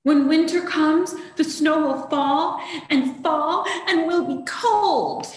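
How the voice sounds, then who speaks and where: A woman's voice: sits high, slow pace, pitched above the performer's own voice. A woman voicing a worried little kitten who fears snow, in an anxious, emphatic tone. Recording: roomy echo.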